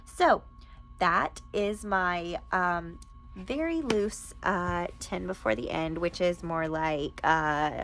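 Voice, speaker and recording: A woman's voice: lowish voice, creaky, sharp tone. A woman talking casually and enthusiastically, like a vlogger sharing recommendations with her viewers. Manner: enthusiastic, informal. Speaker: book reviewer and podcast host. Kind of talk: casual chatty book recommendation vlog.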